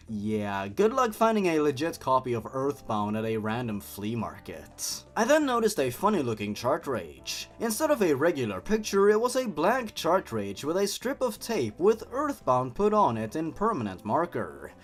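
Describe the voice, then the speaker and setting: A man who is not a native English speaker, hosting scripted commentary and horror story readings in an expressive way, with sardonic asides. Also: mid-range voice, normal speed. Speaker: host reading and reviewing horror stories. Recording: music behind the voice. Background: background music.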